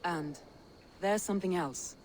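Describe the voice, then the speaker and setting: An actress playing a dignified, earnest Danish war leader in a video game, formal and measured. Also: female voice, fairly low pitch, darkish tone, close to an everyday voice, poor audio. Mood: composed. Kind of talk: video game cutscene acting. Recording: clear background noise, game ambience.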